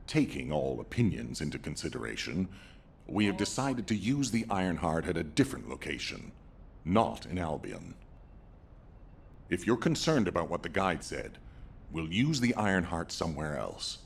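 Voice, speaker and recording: An actor playing a commanding, resolute military leader in a video game, with an authoritative, measured and formal delivery. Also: male voice, low-pitched, vocal fry, a little hiss or hum, heavily acted.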